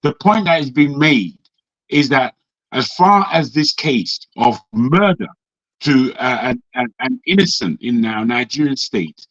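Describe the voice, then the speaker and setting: A man on a debate panel speaking in a measured way, trying to calm the argument. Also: medium pitch, no room sound. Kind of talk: heated debate. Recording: dry, dull lo-fi call audio.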